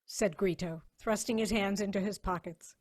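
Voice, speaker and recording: Casting: female narrator for a science fiction reading, medium pitch, measured storyteller delivery. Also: darkish tone. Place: online video call reading.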